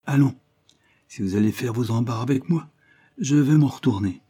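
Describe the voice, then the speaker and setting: A man reading an audiobook, voicing a brash, quick-tempered young maid in a raised, vehement voice. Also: dark tone, slightly rough. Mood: heated. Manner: high-pitched, vehement, indignant. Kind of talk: audiobook character voice.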